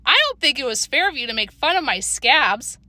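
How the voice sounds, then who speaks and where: Woman as Mouse, a blunt, high-voiced girl. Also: sing-song, fairly quick, bright, forceful tone, dry recording, heavily acted. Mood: indignant. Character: blunt, cheeky young girl called Mouse, touchy and bold.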